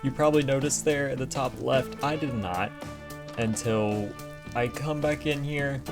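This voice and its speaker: A man casually talking through his building work in a video game, easygoing and thinking aloud, his voice a little raspy from allergies. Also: medium pitch. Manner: relaxed, easygoing self-talk while building. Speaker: gaming channel host. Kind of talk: casual gameplay commentary. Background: faint background music.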